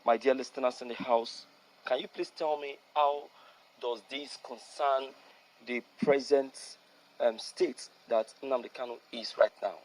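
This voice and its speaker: A man's voice: medium pitch, fairly even intonation, measured pace, poor audio. A man giving opinionated news commentary to his listeners, emphatic, repeating phrases and posing rhetorical questions.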